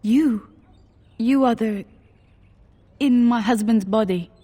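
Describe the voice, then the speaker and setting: An actress playing a grieving but resolute widow in a video game, speaking in a pained, hushed manner. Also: female voice, on the high side, slow. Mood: shaken. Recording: long reverb.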